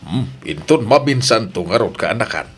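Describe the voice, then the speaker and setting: A man with an Ilocano accent playing a genial, expressive father and uncle in a radio comedy-drama, full of interjections. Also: fairly low pitch, moderate pace, lightly acted. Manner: animated, interjecting.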